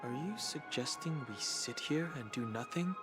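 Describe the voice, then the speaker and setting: A man voicing a serious, measured investigator in a video game, earnest and matter-of-fact. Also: mid-range voice, expressive, music in the background, lightly acted. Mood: grave. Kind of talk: video game dialogue acting.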